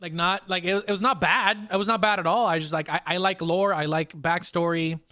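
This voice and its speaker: Bright, higher-voiced man hosting casually. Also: a little fast, no echo, studio quality. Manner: animated, slangy, swearing. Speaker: podcast host. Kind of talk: casual anime review chat.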